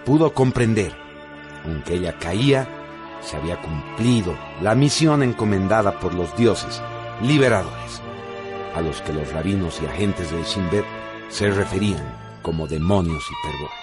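A man's voice: deep voice, lively intonation, moderate pace. A man narrating a radio drama in a solemn, theatrical way. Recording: music bed behind voice.

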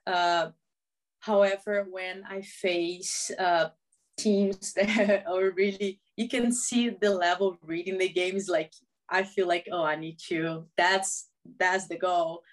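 A woman's voice: on the low side, fairly even intonation, slow pace. Brazilian-born woman speaking learner's English. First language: Portuguese. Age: 20s. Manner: non-native English with small grammar slips, laughs easily. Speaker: guest, professional footballer. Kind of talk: casual interview chat.

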